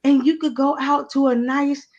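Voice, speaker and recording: A woman's voice: high voice, steady tone, normal speed, muffled recording, crowd noise. Woman host, preachy motivational delivery. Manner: preachy, repeats phrases, checks in with listeners. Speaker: radio show host. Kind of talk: faith-based motivational talk.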